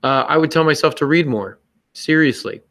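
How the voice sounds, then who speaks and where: A man's voice: a little high, normal speed, fairly bright tone, no echo, studio quality. A man co-hosting a podcast, giving casual writing advice in a joking, self-mocking manner. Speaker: podcast co-host and novelist.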